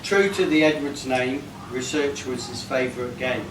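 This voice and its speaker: A man with a mid-pitched voice reads humorous verse aloud to a party crowd. He takes his time over each rhymed line. He is heard from a distance, with clear background noise. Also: moderate pace. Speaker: reader of a birthday tribute poem. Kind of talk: reading a comic rhyming poem aloud to a live gathering. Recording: echoey, noisy room recorded from afar.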